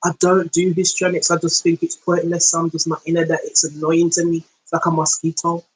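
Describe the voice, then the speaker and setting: A woman with a London accent telling a story in a casual, slangy monologue, acting out quoted speech in slow, deliberate tones. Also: fairly low pitch, monotone, low-quality recording. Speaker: podcast host.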